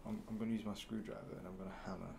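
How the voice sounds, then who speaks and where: A man in his twenties doing a soft-spoken ASMR roleplay as a mechanic, murmuring slowly and haltingly and sometimes slipping toward a whisper. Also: on the low side, flat delivery, gravelly, noisy background. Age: 20s. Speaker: ASMR roleplayer playing a robot repair mechanic.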